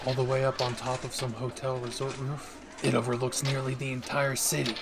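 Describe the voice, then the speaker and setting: A man playing a brash, abrasive young anime pro hero who is secretly doting, gruff and swearing, in an audio roleplay. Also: medium pitch, little pitch movement, brisk, lightly acted. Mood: gruffly fond. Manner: abrasive, swearing, barking, teasing.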